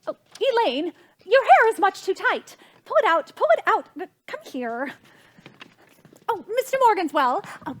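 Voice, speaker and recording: Very high-pitched, flustered society hostess. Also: female voice, heavily acted. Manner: fretful, gushing, dramatic, imperious. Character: flustered, imperious society hostess, a socially ambitious mother. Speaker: stage actress. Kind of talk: theatrical period comedy acting.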